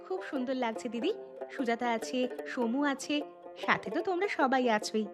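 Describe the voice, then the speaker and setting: A woman voicing a gentle, homesick young bride in a cartoon, polite and soft-mannered. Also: high-pitched, lively intonation, moderate pace. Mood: kind. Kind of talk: cartoon dialogue. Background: background music.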